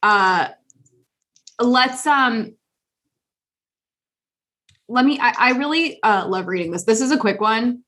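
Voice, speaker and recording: Woman co-host, fairly high voice, sarcastic playful chat. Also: slow, long pauses. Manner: sarcastic, playful, animated emphasis. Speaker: podcast co-host. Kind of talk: casual podcast chat.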